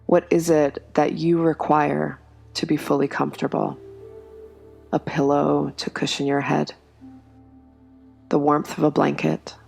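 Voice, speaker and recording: Woman meditation guide, low flat voice, slow and soothing, soft music behind. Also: vocal fry. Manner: slow, soft, soothing delivery with long pauses. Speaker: yoga nidra guide.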